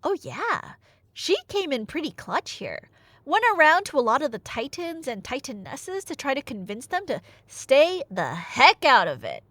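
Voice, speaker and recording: A woman's voice: high-pitched, sing-song, normal speed, fairly bright tone, dry recording. A woman telling a story in a casual, rambling ASMR style, playful and slangy with wry asides. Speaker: storyteller playing the listener's nerdy girlfriend.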